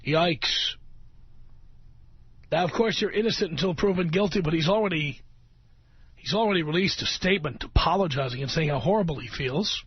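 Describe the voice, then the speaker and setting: A middle-aged American man hosting talk radio with an opinionated monologue, speaking in an emphatic, sardonic way with deliberate phrasing. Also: a little high, breathy edge. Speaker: radio talk show host. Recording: dull, roomy radio recording with music.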